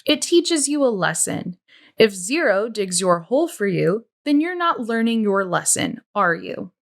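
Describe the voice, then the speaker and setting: A stern woman warden , read by a female storybook narrator, coolly interrogating with sarcastic, pointed questions. Her delivery is slow and controlled. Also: medium pitch, sing-song, gravelly. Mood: stern, commanding.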